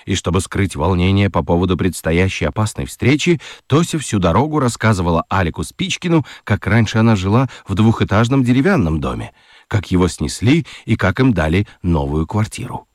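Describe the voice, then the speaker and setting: Мужчина-рассказчик детского радиоспектакля читает выразительно, с драматическими паузами. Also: male voice, deep voice, sing-song, no room sound, clean studio sound. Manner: deliberate, dramatic pauses. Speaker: narrator. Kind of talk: expressive scripted storytelling for children's radio play.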